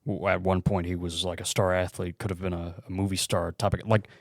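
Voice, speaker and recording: A man's voice: low-pitched, level tone, a little fast, no room sound, studio quality. A man speaking casually as a podcast co-host in an informal discussion.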